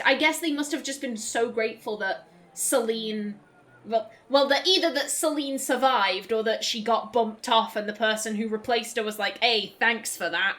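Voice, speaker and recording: A woman's voice: fairly high pitch, fairly bright tone. Chatty, giggly woman playing a game. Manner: chatty, giggly, self-interrupting. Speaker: let's play host. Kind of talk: casual gameplay commentary.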